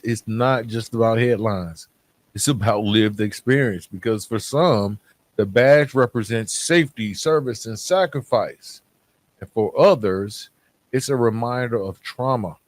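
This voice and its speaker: A man hosting a podcast, speaking in a reflective monologue with a measured, earnest delivery and dramatic pauses. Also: lowish voice. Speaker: podcast host.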